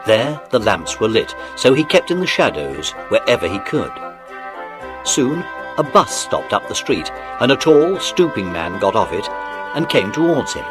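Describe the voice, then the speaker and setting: A man narrating a dramatised children's audiobook in an expressive, animated storytelling manner. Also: medium pitch, a little slow. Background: music.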